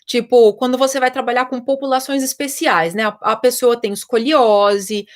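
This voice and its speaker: A woman's voice: on the high side, steady tone, normal speed. A young Brazilian woman, a personal trainer, chatting casually and explaining things to viewers, with lots of fillers. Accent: Brazilian Portuguese. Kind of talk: casual live chat answering viewer questions.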